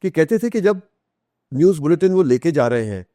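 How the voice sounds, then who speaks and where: A man's voice: fairly high pitch, very animated, a little fast, dark tone, no echo, clean studio sound. A man with an Indian accent tells stories in an interview, switching between Hindi and English with emphatic repetition. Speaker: author, podcast guest. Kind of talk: conversational storytelling in an interview.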